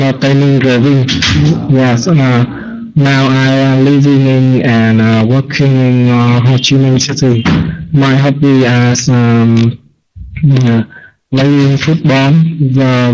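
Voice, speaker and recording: A man's voice: medium pitch, darkish tone. A man in his twenties introducing himself hesitantly, with many fillers, in an online class. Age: 20s. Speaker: student. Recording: lo-fi online call with faint noise.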